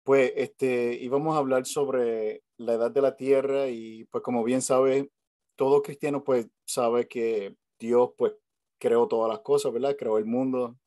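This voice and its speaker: Man, Puerto Rican accent, mellow tone, hesitant with fillers, casual video call host. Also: medium pitch, fairly even intonation, unhurried, no echo, studio quality. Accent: Puerto Rican Spanish. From Puerto Rico. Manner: hesitant, many fillers and restarts. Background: family noise at home.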